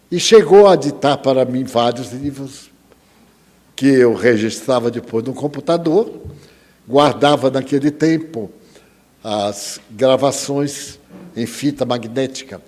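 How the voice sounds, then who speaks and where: A man giving a spiritist lecture, telling stories in a slow, measured way with dramatic rises. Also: mid-range voice, darkish tone, big-room sound. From Brazil.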